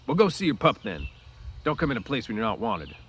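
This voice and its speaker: A man's voice: medium pitch, big pitch swings, brisk, slight background noise, natural voice. A man voicing a proud, aloof stable hand, bitter and defensive, with complaining rural speech in a storybook reading. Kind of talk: storybook read-aloud character voice.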